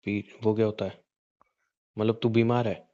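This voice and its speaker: A man's voice: fairly low pitch, level tone, normal speed, warm tone, natural voice. A man playing a hot-tempered, suspicious young husband who badgers bluntly with repeated questions in a conversational audio drama. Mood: confused, badgering. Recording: dull, lo-fi call-recording sound.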